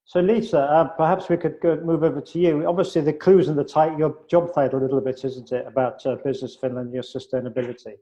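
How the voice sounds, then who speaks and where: A man's voice: on the high side, fairly even intonation, fast, hardly any pauses, warm tone, lo-fi sound. Echoey male online panel host. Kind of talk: informal online panel moderation. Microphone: video call. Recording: echoey, thin video-call audio.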